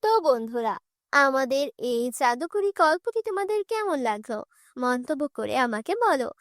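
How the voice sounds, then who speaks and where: A woman reads scripted narration for a children's cartoon tale. Her voice is high, and the recording is dry with hardly any room sound. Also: dry recording.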